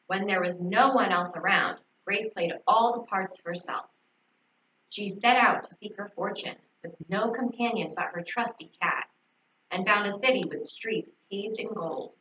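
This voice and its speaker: A woman's voice: mid-range voice, normal speed, pushed and bright. A woman teacher reading a children's storybook aloud. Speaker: fourth grade teacher. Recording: echoey, distant, slightly noisy, dull recording.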